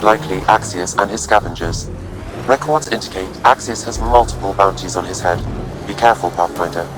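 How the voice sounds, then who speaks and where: A man voicing a calm, helpful artificial intelligence companion in a video game, speaking in a measured, precise and formal way. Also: sits low, music in the background, natural voice, poor audio. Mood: cautionary.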